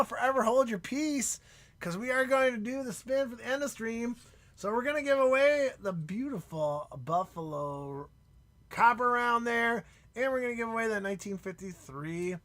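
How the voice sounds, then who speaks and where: A man hosting a live stream in casual chat, excitable and prone to loud shouts and laughter, and losing his voice. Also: very high voice. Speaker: livestream host.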